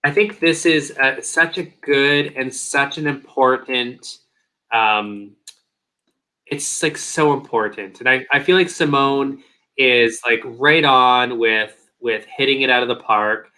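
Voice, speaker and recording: A man co-hosting a casual review chat, earnest and halting, losing his voice. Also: mid-range voice, sharp tone. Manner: earnest, halting, many "um" and "uh" restarts. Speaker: podcast co-host.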